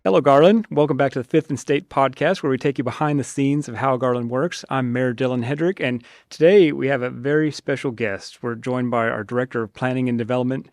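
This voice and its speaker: A man's voice: medium pitch, fairly quick, hardly any pauses, a little mellow, dry recording, clean studio sound. A man, a mayor, hosting a podcast and interviewing a guest in a friendly, informal, chatty way.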